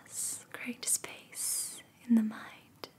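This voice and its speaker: A woman guiding a meditation in soothing ASMR style, in a soft, breathy whisper with repeated phrases and long pauses. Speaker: ASMR reiki practitioner and meditation guide.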